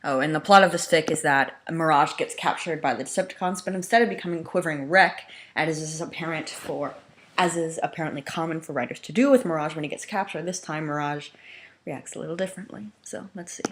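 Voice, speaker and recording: A woman's voice: low-pitched, fairly quick, fairly bright tone. A woman chatting casually as an amateur reader of fan fiction, self-conscious and given to wry asides. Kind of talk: casual chat and dramatic reading with asides.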